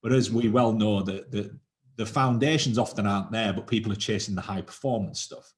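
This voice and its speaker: A man's voice: lowish voice, a little fast. A man hosting a podcast, asking long, rambling questions with frequent restarts. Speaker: podcast host. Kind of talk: interview questions, casual chat.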